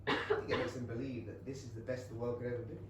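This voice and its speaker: Man as genial English gentleman, echoing theatre. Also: medium pitch, little pitch movement, very fast, natural voice. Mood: earnest. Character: genial, sociable, earnest English period gentleman. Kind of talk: theatrical period comedy stage reading. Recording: very echoey distant theatre recording, noisy.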